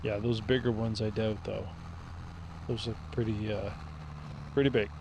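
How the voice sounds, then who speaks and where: A man streaming a farming game, thinking aloud in a relaxed, rambling way as he plays. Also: on the low side, a little slow, creaky, background noise. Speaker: gaming streamer. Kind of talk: casual gameplay commentary.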